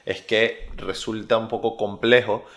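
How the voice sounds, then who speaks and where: A young Venezuelan man with a Venezuelan Spanish accent, a researcher answering interview questions in a measured way with frequent pauses. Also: on the low side, steady tone, fairly bright tone. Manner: measured, many filler "eh" pauses.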